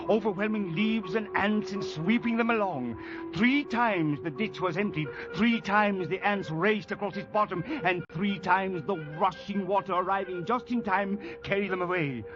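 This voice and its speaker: An actor playing an anxious commissioner who narrates a jungle ordeal in a formal, dramatic old-time radio style. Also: male voice, high-pitched, normal speed, close to an everyday voice. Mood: grave. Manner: grave, dramatic, formal storytelling. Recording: dull old radio broadcast, music behind.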